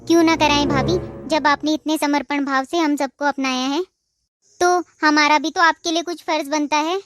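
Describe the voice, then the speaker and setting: A woman's voice: sits high, fairly even intonation, moderate pace, fairly bright tone, dry recording, faint music behind. A woman voicing cheerful young sisters-in-law in a cartoon, speaking eagerly and affectionately.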